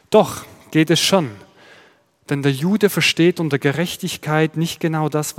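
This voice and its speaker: A male pastor with a Swiss German accent gives a sermon in a steady, explaining manner, asking his listeners rhetorical questions. His voice sits a little higher than most men's. The sound carries a long reverb, as in a church hall. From Switzerland.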